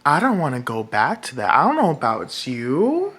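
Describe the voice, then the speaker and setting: A man with a feminine-sounding voice, talking in a rambling vlog monologue that is halting and full of fillers and restarts. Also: medium pitch, wildly swooping pitch, a bit bright, slightly rough. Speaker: political vlogger. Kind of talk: casual monologue to camera.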